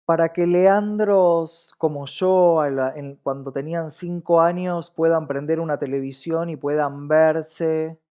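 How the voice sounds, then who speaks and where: Higher-voiced, earnest Argentine man, slightly dull sound. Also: fairly even intonation, measured pace, few pauses, a little mellow, clear, resonant tone. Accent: Rioplatense Argentine Spanish. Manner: earnest, emphatic repetition and rhetorical questions. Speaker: interview guest, diversity specialist. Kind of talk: reflective explanatory interview talk.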